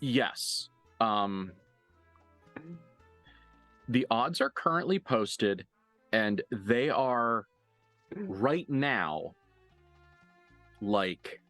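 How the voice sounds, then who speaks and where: A man running a tabletop role-playing game as game master, improvising narration and dialogue in a casual, halting, sweary way. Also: medium pitch, animated, a bit bright, dry recording, faint music behind.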